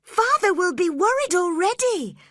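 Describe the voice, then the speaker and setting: A woman's voice: very high-pitched, sing-song, normal speed, no echo, studio quality, voice pushed up, exaggerated voice. Woman doing a spirited teenage girl. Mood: defiant. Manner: gentle, then defiant.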